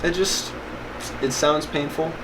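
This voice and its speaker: A man's voice: on the high side, steady tone, slow. A young man from West Virginia with no regional accent, chatting casually as a vlog co-host, rambling with lots of "like" and "uh". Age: young adult. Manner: rambling, filler-heavy, "like" often. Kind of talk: casual chat. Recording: noisy home recording.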